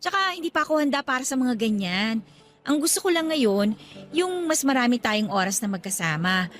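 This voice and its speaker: A woman's voice: fairly high pitch, big pitch swings, normal speed, faint music behind. A woman with a Filipino accent playing a playful, clingy, jealous young girlfriend in a radio drama. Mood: coy, affectionate. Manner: coy, teasing. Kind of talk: radio drama acting, conversational Taglish.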